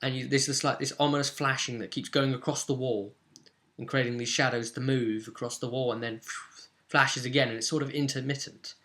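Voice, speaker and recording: Guy gm recapping his tabletop rpg like a story, sharp bright voice, casual but dramatic pauses. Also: mid-range voice, fairly even intonation. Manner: informal storytelling, emphatic with suspenseful pauses. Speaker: game master.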